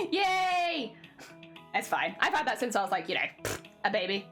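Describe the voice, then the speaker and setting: A woman's voice: a little high, big pitch swings, measured pace, a bit bright, faint music behind. A young woman chatting casually on a craft stream while sewing, rambling with lots of fillers and a slight winter cough.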